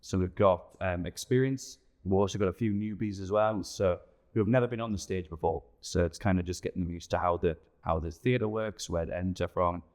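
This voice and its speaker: A man's voice: deep voice, a little fast, darkish tone. A man giving casual interview answers as an amateur theatre director.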